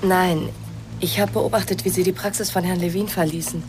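An earnest, inquisitive woman in a radio play, played by an actress. Her lowish voice asks politely and hesitantly over faint music. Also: fairly even intonation, a little fast, close to an everyday voice. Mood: earnest, searching. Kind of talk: German radio crime drama acting.

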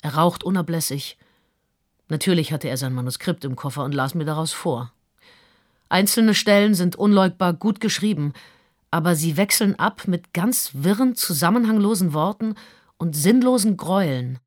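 A woman's voice: fairly low pitch, normal speed, close to an everyday voice. A woman playing a prim, judgemental older aunt in a radio drama, reading out her report with precise disapproval. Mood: disapproving.

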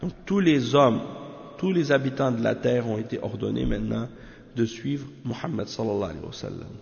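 Gravelly man with a cold, halting. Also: mid-range voice, expressive, darkish tone. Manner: halting, many restarts and fillers. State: has a cold. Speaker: translator of a religious commentary. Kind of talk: reading Arabic text, then explaining in French. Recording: muffled roomy lo-fi recording.

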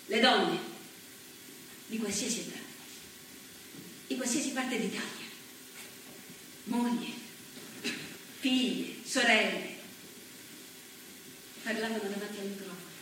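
An earnest woman politician remembering the postwar years, slow and solemn in her delivery. The voice sits at a middle pitch, heard from far off on an echoey stage with faint hiss behind. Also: fairly bright tone. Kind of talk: theatrical monologue. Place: theatre stage.

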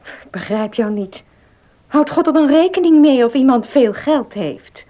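Devout young woman, high lilting voice. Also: animated, measured pace, mellow. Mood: puzzled, sceptical. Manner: questioning, sceptical. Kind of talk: Dutch radio play acting.

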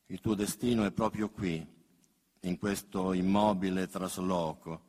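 A man reciting poetry in a solemn, grave, measured and intimate way. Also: sits low, steady tone, darkish tone. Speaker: poet reading own work. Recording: long hall reverb.

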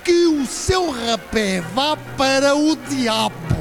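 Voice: sits high, animated, slow pace, full character voice. A student actor playing a flustered official who stammers constantly, in a radio drama with music behind. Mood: indignant.

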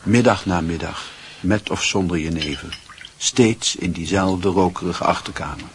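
A man's voice: deep voice, unhurried, noisy background. A man narrating a literary radio play in a scripted storytelling style.

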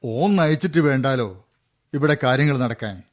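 An easygoing older father acted by a man in a radio play, with a middling pitch. He speaks mildly in colloquial Malabar Malayalam. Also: lively intonation, normal speed, close to an everyday voice. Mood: mild, reassuring. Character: easygoing older village father of a grown son. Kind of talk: radio drama dialogue.

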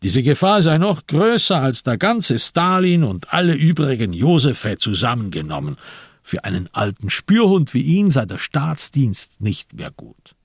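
A man with a gravelly, husky voice reading literary narration for a crime radio play. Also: mid-range voice, big pitch swings, measured pace, no room sound, clean studio sound. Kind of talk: scripted literary narration with reported speech.

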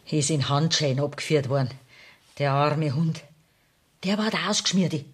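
Devoted, fierce Bavarian sweetheart, radio drama. Low pitch, extremely breathy, husky delivery. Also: female voice, normal speed. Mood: pitying. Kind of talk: radio play acting.

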